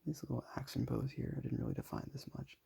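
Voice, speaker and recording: A young man with a raspy voice, talking casually and modestly while showing his sketches. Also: medium pitch, huge pitch swings, fast talker. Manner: soft-spoken, self-deprecating, rambling.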